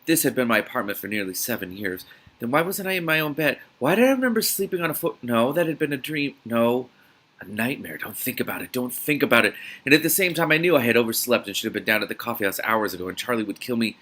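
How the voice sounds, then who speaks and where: A man reading a novel aloud as host of a reaction series, in a dramatic, expressive manner. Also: mid-range voice, fast.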